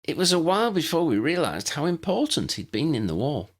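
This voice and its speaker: Young newcomer girl for audiobook, male performer: high swooping pitch, polite, curious, hesitant. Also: big pitch swings, brisk. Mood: thoughtful. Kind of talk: audiobook character voice.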